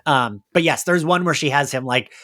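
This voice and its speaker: A man hosting a casual recap chat, telling the story in an animated, rambling way. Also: a little high, moderate pace. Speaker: podcast host.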